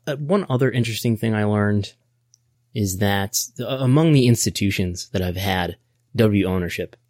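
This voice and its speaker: A man's voice: lowish voice, a little mellow, dry recording, clean studio sound. An American man hosting a podcast, giving casual explanatory business commentary in a wry, nerdy way, often thinking aloud. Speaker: podcast host.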